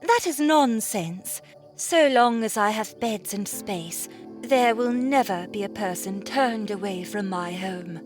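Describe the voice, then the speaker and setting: Woman as a slow, grandiose young princess. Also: mid-range voice, animated, over music. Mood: gracious, lofty. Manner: grandiose, courtly, drawn-out. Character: noble, earnest young princess with flowery speech. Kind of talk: audiobook character acting.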